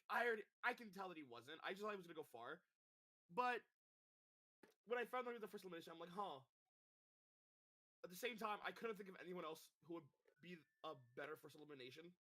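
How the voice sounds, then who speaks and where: A man with a high, bright voice answers a fan question hesitantly. He sounds soft-spoken and restarts often. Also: very animated, fairly quick, long pauses. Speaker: voice actor guest. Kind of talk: casual live-stream Q&A.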